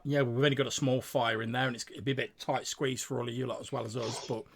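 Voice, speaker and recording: A man's voice: medium pitch, flat delivery, fast talker, creaky, husky. A man voicing a weathered human outlaw leader who is easygoing and dry, slightly mocking, in a tabletop game. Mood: wry.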